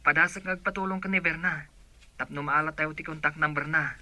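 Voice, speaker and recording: An earnest young man, performed by a male actor in radio-drama style. The voice is somewhat high and very bright, with a determined, forceful delivery. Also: fairly even intonation, a little fast, no echo. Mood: earnest, persuasive.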